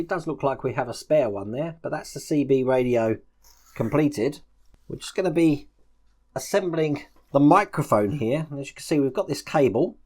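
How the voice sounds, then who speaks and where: A man's voice: medium pitch, darkish tone. Man giving hands-on build instructions. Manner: informal, narrating actions as he works. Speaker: presenter of a model-building tutorial. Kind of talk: hands-on step-by-step instructional commentary.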